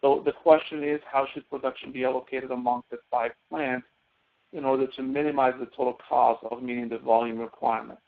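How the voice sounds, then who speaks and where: Man, instructor, tutorial lecture, heavy vocal fry, thin telephone-like sound, self-answered questions. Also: mid-range voice, little pitch movement, fairly quick. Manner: asks questions and answers them himself. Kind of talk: spreadsheet tutorial lecture, explaining step by step. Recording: narrow phone-like audio.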